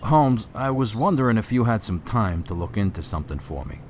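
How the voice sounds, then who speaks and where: An earnest police officer in a radio drama. His voice is somewhat low but varies a lot in pitch, with a slightly hesitant delivery. Faint birdsong and outdoor noise are behind him. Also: very animated, brisk, a little mellow.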